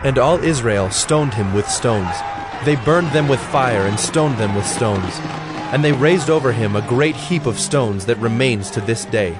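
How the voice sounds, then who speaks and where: A man narrating a dramatized scripture reading in a measured, solemn, scripted style. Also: medium pitch, lively intonation, noisy background.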